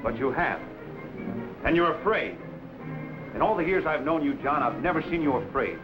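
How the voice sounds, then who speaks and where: A man's voice: on the high side, animated, moderate pace, lightly acted. An actor playing a pushy frontier newspaperman in an old adventure drama, insinuating and threatening as he pries. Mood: probing. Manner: insinuating, menacing. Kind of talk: 1950s adventure drama acting. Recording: dull old broadcast, loud music.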